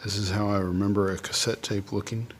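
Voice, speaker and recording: A man's voice: on the low side, little pitch movement, fairly quick, husky. A man talking casually and unscripted as he demonstrates drawing, thinking aloud and trailing off as he goes. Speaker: artist hosting a drawing video.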